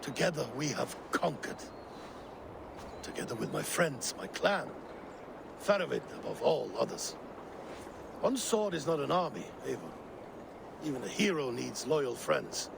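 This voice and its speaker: Scheming Viking jarl, grand and theatrical, gravelly, lively pitch. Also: male voice, unhurried, pauses often, background noise, exaggerated voice. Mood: brooding. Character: proud scheming Viking jarl, middle-aged man.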